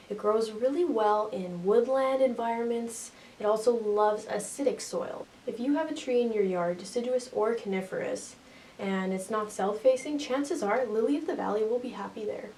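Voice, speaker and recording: A woman in the middle of the usual pitch range talks viewers through a garden plant with chatty, playful asides. She is a little way from the mic, and a faint hiss runs under the recording. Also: moderate pace, a little mellow, distant mic, rough recording. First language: not English; Swiss German is a second language. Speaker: gardening video host. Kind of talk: plant care explainer.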